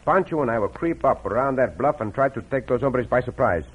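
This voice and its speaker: An actor playing a commanding, gallant Mexican outlaw hero with a Mexican accent and formal, precise speech in an old radio western. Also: male voice, medium pitch, expressive, brisk, breathy edge. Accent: Mexican, put on. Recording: tinny old radio recording with noise.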